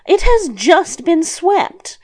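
Casting: female reader as elderly fairy-tale grandmother, high voice, sly, scolding yet soothing, drawn-out delivery. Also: sing-song, darkish tone, exaggerated voice. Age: older.

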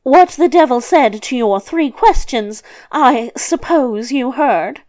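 Woman acting a high-pitched sly old grandmother. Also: animated, takes it slowly, a little mellow. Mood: brisk, kindly. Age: older. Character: devil's old grandmother, sly, scolding yet soothing.

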